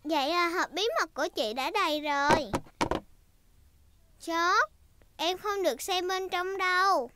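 A woman's voice: extremely high pitch, measured pace, long pauses, bright, forceful tone, slight background noise, exaggerated voice. A woman dubbing a bossy, teasing little girl piglet in a cartoon, giggly and sure of herself. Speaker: cartoon character. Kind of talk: Vietnamese cartoon dub. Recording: clean studio dub over music.

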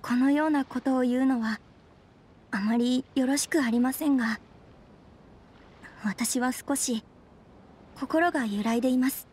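A woman's voice: high voice, measured pace, long pauses, a little mellow, slightly rough. An actress playing a refined, demure young noblewoman in an anime game, very polite and a little hesitant. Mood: troubled, apologetic. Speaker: bride-to-be of a noble clan. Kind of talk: anime game voice acting, formal polite dialogue.

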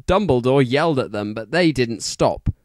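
A man's voice: medium pitch, lively intonation, moderate pace, no room sound, studio quality. A man narrating fan fiction as an exaggerated, mock-serious dramatic reading.

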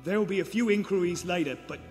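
An actor playing a brusque, authoritative senior police inspector in a radio play on a theater stage. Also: male voice, high-pitched, quick pace, darkish tone, natural voice. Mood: businesslike. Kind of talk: 1940s radio play acting. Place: theater stage in front of an audience. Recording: live theater stage, long reverb, music behind.

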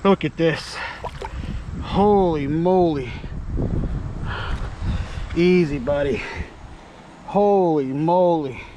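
A man's voice: fairly high pitch, very slow, long pauses, warm tone, lo-fi sound, a little hiss or hum. A man filming himself fishing from a kayak on a pond, exclaiming and talking to the fish as he lands it, recorded outdoors on a head-mounted camera. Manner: breathless exclamations, talks to the fish. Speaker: fishing vlogger. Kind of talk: excited self-filmed fishing commentary. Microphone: head-mounted action camera.

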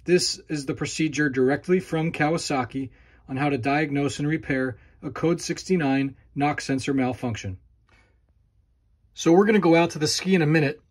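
A man's voice: fairly high pitch, husky. A man hosting a hands-on repair walkthrough, explaining each step methodically while filming with a handheld camera. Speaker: channel host demonstrating repairs. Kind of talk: instructional walkthrough.

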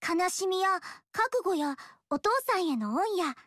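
A woman's voice: very high voice, lively intonation, moderate pace, no room sound. An actress voicing a cheerful, friendly young female monk in a game. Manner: bright and friendly. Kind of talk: game dialogue. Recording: dry studio voice.

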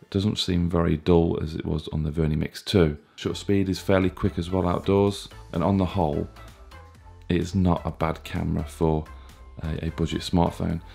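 A man in his thirties with a chesty, hoarse cold voice, casually reviewing a gadget with plenty of fillers and restarts. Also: deep voice, normal speed, faint music behind. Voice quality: gravelly. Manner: relaxed, plain talk with frequent fillers and restarts. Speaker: tech reviewer.

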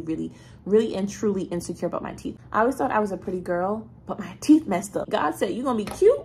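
A young woman in her twenties chatting casually to a phone camera in her apartment, telling stories with an animated, chatty manner and sounding a little congested. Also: mid-range voice, vocal fry, slight background noise. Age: 20s. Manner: chatty, animated storytelling with laughs. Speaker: vlogger. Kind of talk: casual vlog chat to camera. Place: bright apartment room with ceiling fan. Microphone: phone camera held low, close.

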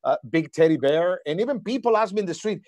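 A man's voice: high voice, expressive, fast, darkish tone, dry recording. A man chatting casually on a group video call. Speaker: cast member. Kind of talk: casual chat.

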